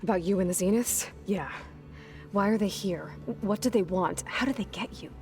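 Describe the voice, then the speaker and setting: Woman voicing a steady young huntress, direct, level, mid-pitched, faint music underneath. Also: moderate pace, natural voice. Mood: probing. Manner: direct, level, probing questions. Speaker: game protagonist. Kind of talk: video game cutscene acting.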